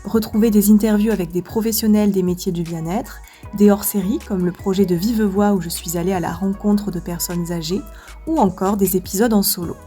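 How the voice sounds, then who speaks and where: A woman podcast host who calmly reads a scripted introduction, then interviews her guest. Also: mid-range voice, brisk, faint music behind.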